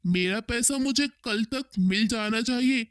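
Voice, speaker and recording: A man's voice: very high-pitched, a little slow, fairly bright tone, clear, resonant tone, dry recording, studio quality. A man voicing a cruel, greedy, threatening village moneylender in a cartoon story, scolding and menacing. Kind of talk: Hindi cartoon story dialogue.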